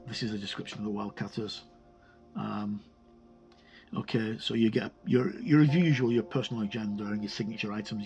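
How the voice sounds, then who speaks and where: A man presenting an informal, unscripted walk-through review of a tabletop game book, with a rambling, halting delivery. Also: lowish voice, darkish tone. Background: background music.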